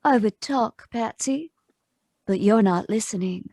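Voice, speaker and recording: A woman's voice: fairly high pitch, measured pace. Woman playing a cutting, tough-talking femme fatale. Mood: mocking. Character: hard, threatening femme fatale. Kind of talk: 1940s radio noir acting.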